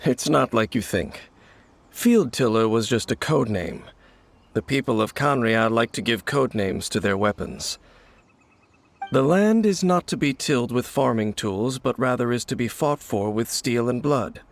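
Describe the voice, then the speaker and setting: A man playing an aloof, world-weary young swordsman in an anime video game, speaking gravely and with restraint. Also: mid-range voice, expressive, unhurried. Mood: grave explaining.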